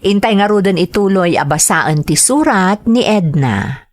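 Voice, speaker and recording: A woman's voice: medium pitch, lively intonation, measured pace. A woman radio host with an Ilocano accent and a mid-range voice. She reads scripted material aloud, drawing out the ends of phrases. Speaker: radio drama host reading greetings and a listener's letter.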